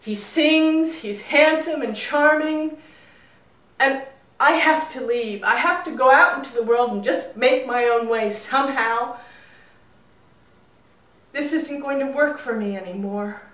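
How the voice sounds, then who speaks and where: A woman's voice: high voice, measured pace, pauses often, fairly bright tone, voice pushed up. A woman storyteller voicing a frustrated, earnest young man in a fable, with emphatic delivery. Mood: frustrated, desperate. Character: restless, frustrated young man in brother's shadow. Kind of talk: live storytelling. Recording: roomy echo, distant mic.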